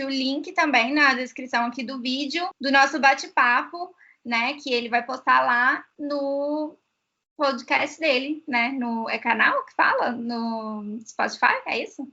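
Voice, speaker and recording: A woman's voice: high voice, sharp tone, dull audio. A woman with a Brazilian accent chatting casually as a host. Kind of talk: casual chat.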